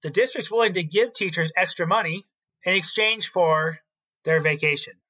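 A man's voice: high voice, normal speed, pushed and bright. A man co-hosting an education podcast as a school principal, explaining his opinions in casual talk.

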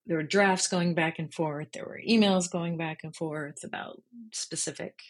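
Woman, low husky voice. Interview guest, thoughtful and candid, conversational delivery. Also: fairly even intonation, normal speed. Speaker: interview guest, freelance journalist and writer. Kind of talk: casual reflective interview chat.

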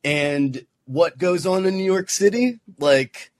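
A man's voice: sits high, slow, no room sound. A man co-hosting a casual chat, wry and self-deprecating.

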